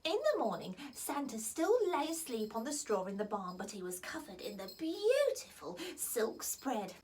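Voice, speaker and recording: A woman reads a children's story aloud in a high, playful voice. Her delivery swoops widely through the lines. She drops into soft whispers for the quiet moments. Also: normal speed, recorded from a distance, slight background noise. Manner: expressive, with whispers and laughs.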